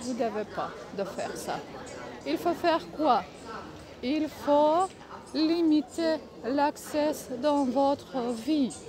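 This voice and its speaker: A woman speaking French that is not her native language, giving casual advice in a vlog, halting often to search for words. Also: high voice, a crowd in the background. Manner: halting, many filler pauses, searching for words. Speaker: vlogger.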